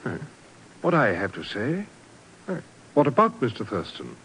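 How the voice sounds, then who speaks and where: An actor playing a genteel, puzzled titled gentleman in 1950s radio drama, courteous and somewhat blustering. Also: medium pitch, huge pitch swings, normal speed, breathy edge, full character voice, rough recording. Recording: hissy old radio broadcast.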